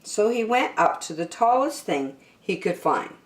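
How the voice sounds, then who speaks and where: A woman reading a children's storybook aloud as storyteller, expressive and emphatic, drawing out key words. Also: mid-range voice, unhurried. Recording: echoey room.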